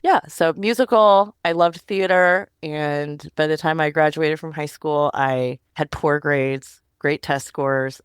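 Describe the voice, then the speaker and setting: Woman from Northern Virginia, podcast guest, chatty and self-deprecating, smooth tone, dry close mic. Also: deep voice, no room sound. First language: Korean. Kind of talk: casual interview storytelling.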